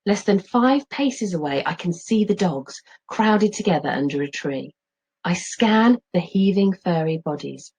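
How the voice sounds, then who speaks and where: Female teacher, middle-aged, for expressive children's story narration; lively pitch movement, lightly husky tone. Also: unhurried. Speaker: teacher reading aloud.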